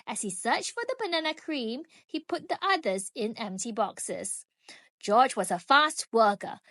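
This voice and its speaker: A woman reading a children's book aloud in an expressive, animated way, drawing out key phrases for young listeners. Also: on the high side, measured pace, no room sound. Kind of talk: expressive storybook read-aloud.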